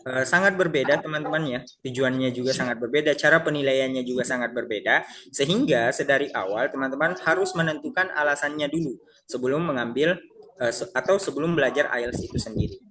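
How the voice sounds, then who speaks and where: A man's voice: medium pitch, a little fast, background noise. A man teaching a casual class, talking to his listeners as friends and often stopping to restart his sentences. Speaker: IELTS teacher. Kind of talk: casual online class lecture.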